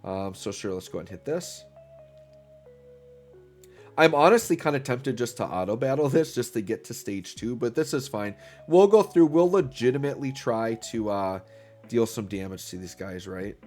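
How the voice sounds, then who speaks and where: Man, slightly stuffy, casual game commentary, mid pitch, soft music behind. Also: expressive, moderate pace. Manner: upbeat, filler-heavy, talks while playing. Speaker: gaming channel host.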